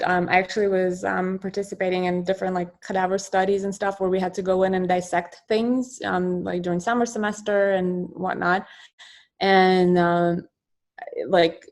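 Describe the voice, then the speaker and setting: Chatty Ukrainian-born woman on a call. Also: lowish voice. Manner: chatty, animated storytelling with many fillers. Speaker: guest surgeon and professor. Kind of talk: casual interview answers.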